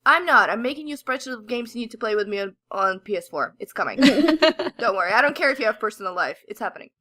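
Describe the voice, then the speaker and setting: A woman's voice: a little high, animated, fast, sharp tone. A woman co-hosting a podcast with casual, playful, teasing banter. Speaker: podcast co-host.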